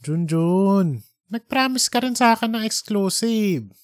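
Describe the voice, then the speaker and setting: A man's voice: high voice, animated, unhurried, no echo, pitched above the performer's own voice. An exasperated male newspaper editor, acted out by a male narrator in an audiobook story. He scolds with pointed questions and restrained irritation, in a close, dry recording. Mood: exasperated, stern.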